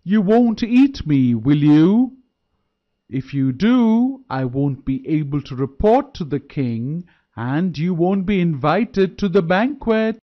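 A man playing a clever young deer, high and eager. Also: big pitch swings, slow pace. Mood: calling out. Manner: bright, eager, raised voice. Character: clever young male deer, cheerful trickster. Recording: dull lo-fi recording.

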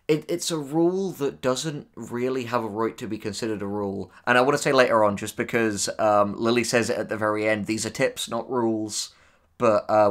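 A man in casual discussion as a podcast co-host. His voice sits at a middling pitch, and he works through his arguments aloud in a conversational manner. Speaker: podcast co-host and audio drama writer.

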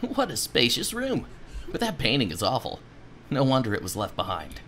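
A man's voice: medium pitch, huge pitch swings, normal speed, a bit bright, a little hiss or hum. A man voicing a plucky, boastful young adventurer in a cartoon game, with theatrical, self-satisfied declarations. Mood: wry, resigned. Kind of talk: game dialogue read aloud as a character.

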